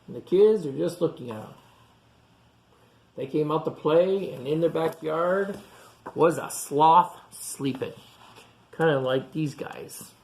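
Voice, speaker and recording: A man reading a picture book aloud to young children, pausing for simple explanations and questions. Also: a little high, a little mellow. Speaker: storytime reader for young children. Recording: echoey room sound.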